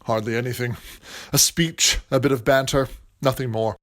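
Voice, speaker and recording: A man's voice: medium pitch, steady tone, moderate pace, a bit bright, close to an everyday voice. A man playing a passionate, grandiloquent playwright in a period audio drama, speaking in a florid, declamatory and earnest way. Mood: musing. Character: passionate, grandiloquent male playwright scheming for material. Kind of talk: theatrical period stage-play acting.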